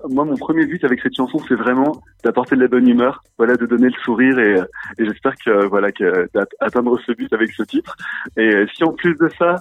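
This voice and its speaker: A man's voice: mid-range voice, dry recording. A man guesting on a radio show, talking about his music in a friendly, eager way with plenty of fillers. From France. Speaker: singer-songwriter guest. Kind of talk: radio interview. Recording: tinny phone-line sound, dry.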